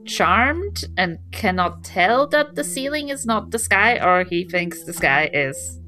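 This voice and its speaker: A woman's voice: medium pitch, animated, slow pace, pushed and bright, faint music behind. A woman with a New Zealand accent playing a tabletop role-playing game, chatting casually in character.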